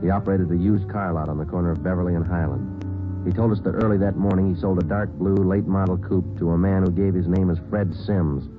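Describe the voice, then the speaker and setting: An actor playing a terse police sergeant who narrates the case in a flat, matter-of-fact way, in an old radio drama. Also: male voice, low-pitched, fairly quick, close to an everyday voice. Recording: tinny old radio broadcast with music.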